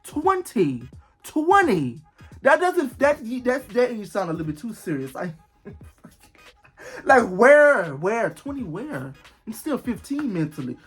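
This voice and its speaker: A young man of twenty talks straight to his viewers in a loose, rambling way. He repeats himself and breaks into exclaimed disbelief. Soft music plays faintly behind him. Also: sits high, wildly swooping pitch, takes it slowly, breathy edge. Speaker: vlogger. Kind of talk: casual talk to camera.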